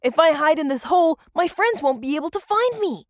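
Squeaky, wide-eyed little boy. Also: lively intonation, brisk, dry recording, clean studio sound. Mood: playful. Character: excitable little boy adventurer. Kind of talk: children's audio story acting.

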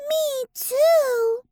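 A woman voicing a small, eager, excitable bunny girl with a squeaky childlike voice in a children's bedtime story. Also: no room sound, studio quality, heavily acted. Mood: pleading.

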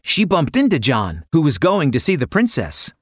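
A man's voice: a little high, wildly swooping pitch, normal speed, no echo, clean studio sound. A man narrating a children's fairy tale in a dramatic, playful storytelling manner. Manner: dramatic, playful sound words.

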